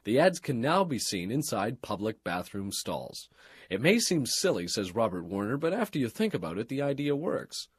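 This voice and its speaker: A man reading a scripted radio news and sports bulletin in a formal, measured broadcast delivery. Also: mid-range voice, very animated, breathy edge, dry recording.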